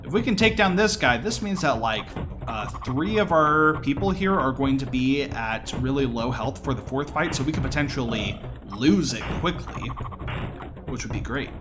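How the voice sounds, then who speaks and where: Casting: male gameplay commentator, mid-range voice, casual and explanatory, clear game music underneath. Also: medium pitch, lively intonation, moderate pace. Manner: explanatory, talking through the run as it happens. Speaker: speedrun commentator. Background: video game music.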